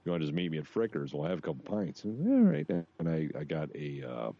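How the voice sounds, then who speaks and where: A man's voice: rumbling low, wildly swooping pitch, quick pace, vocal fry, mellow, lo-fi sound. A man in his late thirties co-hosting a podcast, telling casual anecdotes in a wry, profane way. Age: late 30s. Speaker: podcast co-host. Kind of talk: casual chat, swearing anecdotes.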